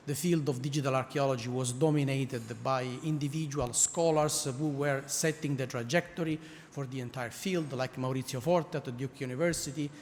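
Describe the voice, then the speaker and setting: A man's voice: mid-range voice, a little mellow. A middle-aged man from Italy with an Italian accent, giving an academic conference talk with frequent fillers, restarts and wry asides. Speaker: conference speaker. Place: large conference hall. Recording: reverberant hall, faint noise.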